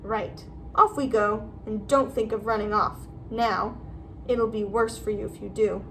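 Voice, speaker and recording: A woman's voice: medium pitch, steady tone, a little slow, slight background noise, natural voice. A woman voicing a nasty, gleeful old male school caretaker in a storybook. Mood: gloating menace. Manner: leering, menacing.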